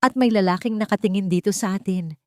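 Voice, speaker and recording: A woman's voice: mid-range voice, quick pace, no echo, studio quality, natural voice. A woman voicing a frightened, wounded young woman confiding her troubles in anxious, pleading audiobook dialogue.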